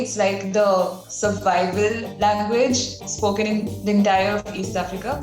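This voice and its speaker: Giggly young woman in an echoey room. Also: mid-range voice. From India. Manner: giggly, relaxed, reading out comments. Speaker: series participant. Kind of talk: casual to-camera reactions. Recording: echoey room with music behind.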